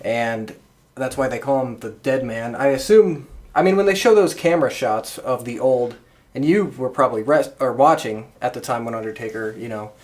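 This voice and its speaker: A man's voice: mid-range voice, moderate pace, a little mellow. A man co-hosting a podcast, talking in a casual, conversational way. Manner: informal, conversational. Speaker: podcast co-host. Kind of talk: casual chat.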